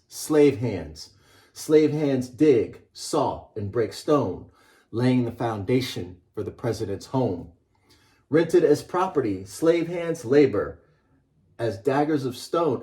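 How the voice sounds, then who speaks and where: A man's voice: medium pitch, darkish tone. A middle-aged man, a children's author, presenting and reading a picture book aloud with an emphatic, rhythmic delivery.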